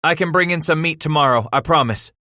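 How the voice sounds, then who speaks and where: A man's voice: a little high, a little fast, sharp tone, dry recording, clean studio sound, voice pushed up. A man voicing a frightened, stubborn teenage farm boy in an audiobook, speaking hesitantly in rustic words. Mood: defensive, nervous.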